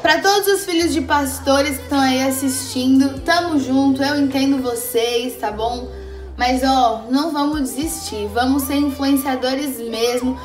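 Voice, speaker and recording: A young woman vlogger chatting casually and motivationally, animated and slangy, speaking straight to her viewers. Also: sits high. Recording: roomy echo, faint background music.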